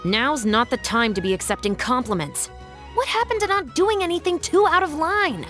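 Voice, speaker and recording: A woman's voice: high voice, lively intonation, moderate pace, a bit bright, soft background music. A woman playing a prim, officious patrol officer in a video game, formal and dryly reproving. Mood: wry, chiding. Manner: formal, scolding, wry. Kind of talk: video game character acting.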